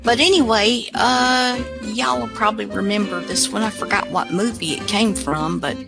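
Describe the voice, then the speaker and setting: A woman's voice: mid-range voice, moderate pace, vocal fry, over music. A woman casually hosting a radio show in a rambling, folksy way.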